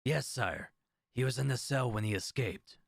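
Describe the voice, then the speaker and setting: A man's voice: medium pitch, moderate pace, gravelly, no echo, clean studio sound. An actor playing a deferential, nervous lieutenant in an audio drama, hesitant and subservient as he speaks. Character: deferential, nervous military lieutenant reporting to royalty.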